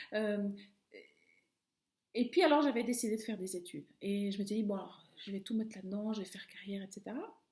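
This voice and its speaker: A middle-aged woman speaks in a calm, reflective way, letting her sentences fade softly at the ends. Her voice is mellow and sits slightly high. The room around her rings with noticeable echo. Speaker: video host. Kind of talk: personal reflective monologue. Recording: echoey room.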